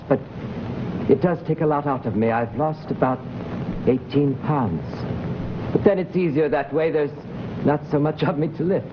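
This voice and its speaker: Fervent high-voiced mystic, old noisy radio. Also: male voice, highs cut off. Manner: intense, earnest, insistent. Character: fervent, obsessive mystic man convinced he can levitate. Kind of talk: 1940s American radio drama acting.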